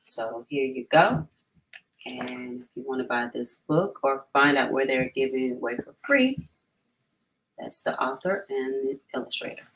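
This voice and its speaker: A woman's voice: a bass voice, lively intonation, unhurried, slight background noise, poor audio. A woman hosting a storytime, reading aloud and chatting informally and encouragingly to families. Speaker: storytime host.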